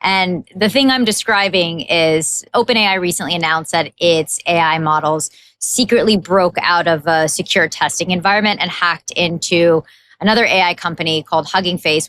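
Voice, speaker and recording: A woman's voice: fairly low pitch, a bit bright, no room sound. Curious woman hosting a podcast. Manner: curious, engaged questioning. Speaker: podcast host. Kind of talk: podcast interview.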